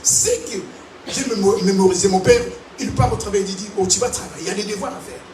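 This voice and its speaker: A man from Africa preaching a sermon to a congregation, animated and calling out to them. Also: high voice, fast talker, music in the background. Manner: animated preaching with call-and-response. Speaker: pastor. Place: large church hall. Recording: echoey hall, distant mic, noisy.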